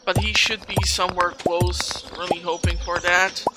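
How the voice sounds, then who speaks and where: A video creator of unclear gender gives casual, rambling let's play commentary, as if thinking out loud. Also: mid-range voice, flat delivery, normal speed. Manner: rambling, talking to self. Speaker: gaming video creator, host. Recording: loud game music behind voice. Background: video game music.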